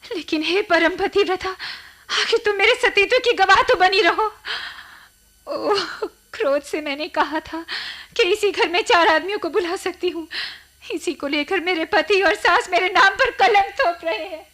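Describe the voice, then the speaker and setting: An actress playing a despairing, wronged young wife in a radio play, melodramatic and pleading. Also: female voice, extremely high pitch, a little slow, sharp tone, full character voice. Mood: anguished, pleading. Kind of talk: Hindi radio play acting.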